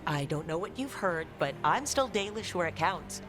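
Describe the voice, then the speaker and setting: A playful elf heroine played by a woman with a somewhat low voice. She teases wryly in fantasy game dialogue, with music underneath. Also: animated, moderate pace, natural voice. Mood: measured, curious. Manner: wry, teasing. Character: adult female elf adventurer, wry, playful teasing companion. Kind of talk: video game dialogue acting.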